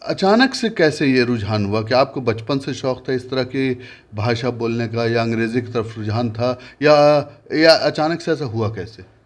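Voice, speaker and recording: A man's voice: medium pitch, normal speed. A man who narrates a news report in a formal tone and then interviews a guest, often hesitating when he speaks off script.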